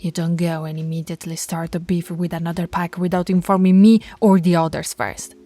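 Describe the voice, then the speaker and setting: A woman voicing a stern, commanding female werewolf pack alpha in an ASMR audio roleplay, bossy and scolding, sometimes dropping to soft whispers. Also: on the low side, little pitch movement, fairly quick, no room sound, natural voice.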